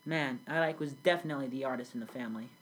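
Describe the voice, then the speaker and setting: A teenage girl plays a grown immigrant looking back on a village childhood, speaking low for her age with a husky, breathy edge. The telling is earnest and steady, read from a script. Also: rapid-fire. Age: young teen. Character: grown immigrant recalling a South Sudan childhood. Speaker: student playing the interviewee. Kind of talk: school project role-play interview.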